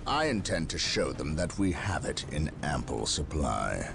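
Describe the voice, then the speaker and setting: An actor playing a proud, commanding space-marine captain in a game cutscene, giving curt orders. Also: male voice, rumbling low, big pitch swings, a little slow, vocal fry, noisy background, exaggerated voice. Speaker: game character. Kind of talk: video game cutscene acting. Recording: game cutscene with music underneath.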